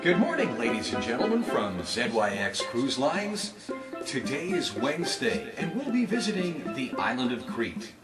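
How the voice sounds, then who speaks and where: A man's voice: medium pitch, big pitch swings, normal speed, distant mic, loud music behind the voice, natural voice. A man playing an upbeat cruise director and store announcer, giving cheery, formal promotional announcements. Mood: upbeat, inviting. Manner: formal greeting, cheery sales patter. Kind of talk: promotional public-address announcement.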